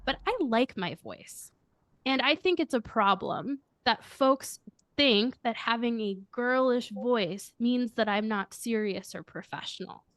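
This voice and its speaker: A woman with a high, girlish voice answering interview questions, speaking earnestly and reflectively as a coach who leads a firm. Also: measured pace, no echo. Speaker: guest, coach and firm leader.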